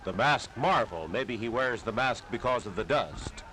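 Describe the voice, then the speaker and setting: American male newsreel announcer, 1930s style, animated and declamatory, noisy archival sound. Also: medium pitch, moderate pace. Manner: dramatic, declamatory delivery. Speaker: newsreel narrator.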